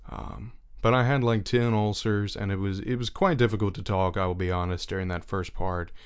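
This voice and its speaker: Earnest young man, low and smooth. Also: steady tone. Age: young adult. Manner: earnest, unhurried, thinking aloud. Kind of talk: reflective personal monologue.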